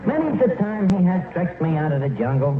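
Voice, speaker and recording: An actor playing a boastful, wisecracking tavern manager with a New York accent and slangy, hesitant delivery in a 1940s radio comedy. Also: male voice, sits high, big pitch swings, fairly quick, crowd noise. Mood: boastful, sheepish. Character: boastful, wisecracking tavern manager who mangles his grammar. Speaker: tavern manager and comic lead. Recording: noisy, tinny 1940s radio recording, roomy.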